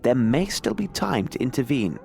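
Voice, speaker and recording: An actor playing a cerebral Victorian detective in a video game, with a formal, articulate way of musing aloud. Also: male voice, medium pitch, sing-song, normal speed, gravelly, warm tone. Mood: deducing. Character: Victorian male detective, cerebral, self-assured, inquisitive. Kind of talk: video game dramatic narration to himself.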